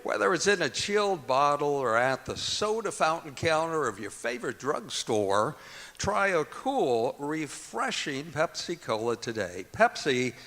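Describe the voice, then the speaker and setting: A man's voice: fairly high pitch, big pitch swings, measured pace, fairly bright tone. A man announcing in old-time radio style, reading introductions and adverts formally from an auditorium stage. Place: performing arts center auditorium, live stage.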